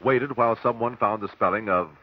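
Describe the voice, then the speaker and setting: An actor playing a persistent examiner at an inquest, asking formal, clipped questions in an old radio drama. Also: male voice, fairly low pitch, brisk, no echo, close to an everyday voice. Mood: probing, formal. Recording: dull, dry old radio recording.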